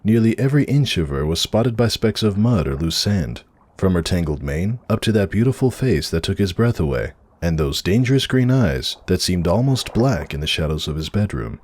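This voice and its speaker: A man's voice: deep voice, big pitch swings, moderate pace, darkish tone. A man reading a story aloud as a dramatic, scripted narrator. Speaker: narrator of a fan fiction reading.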